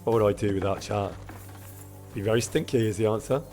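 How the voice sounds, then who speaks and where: A man streaming a game with casual, self-deprecating commentary, in a husky voice, chatting with his viewers. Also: on the low side, a little fast, a little mellow, faint music behind.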